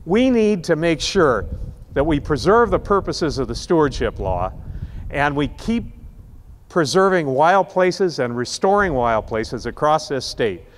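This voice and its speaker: A man speaks at a rally, his voice pitched a little high and carried by a long, trailing reverb. He is impassioned and emphatic, slowing down hard on the words he wants to land. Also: big pitch swings. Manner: impassioned, emphatic, slows down to stress key words. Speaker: rally speaker introducing the next speaker. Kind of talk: speech at an outdoor rally.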